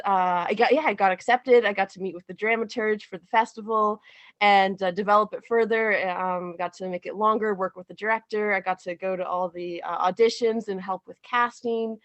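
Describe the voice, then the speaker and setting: A woman giving a casual interview as a playwright, speaking haltingly and thinking aloud. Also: mid-range voice, dry recording. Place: remote video call.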